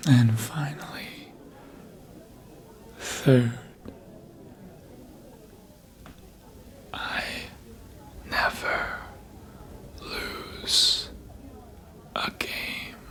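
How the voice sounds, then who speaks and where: An actor playing a seductive, manipulative vampire in an ASMR roleplay, speaking in a breathy, often whispered voice with a deliberate, hypnotic manner, close to the mic. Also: medium pitch, steady tone. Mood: teasing, seductive. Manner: slow, deliberate, hypnotic, with long pauses. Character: seductive vampire, manipulative mind-controller, calm and menacing. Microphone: close ASMR microphone.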